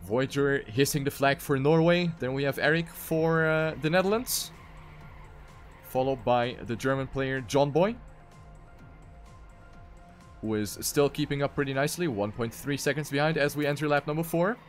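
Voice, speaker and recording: A man's voice: medium pitch, normal speed, faint music behind. A man giving live commentary on a racing video game as an esports caster.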